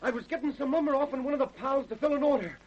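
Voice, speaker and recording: Casting: frantic American working lad, extremely high voice, muffled old radio sound. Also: male voice, racing, slight background noise. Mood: panicked. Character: frantic, high-strung young lumber yard worker. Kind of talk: old-time American radio drama acting. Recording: dull, lo-fi vintage radio recording.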